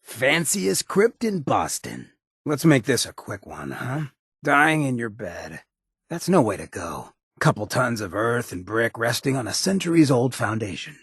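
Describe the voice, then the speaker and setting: An actor playing a roguish, irreverent ghoul mayor in a video game, with a raspy voice, an American accent and a streetwise, sardonic drawl. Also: male voice, mid-range voice, very animated, unhurried, fairly bright tone, dry recording, clean studio sound, heavily acted. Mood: amused, cocky. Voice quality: raspy, gravelly. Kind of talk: video game character dialogue.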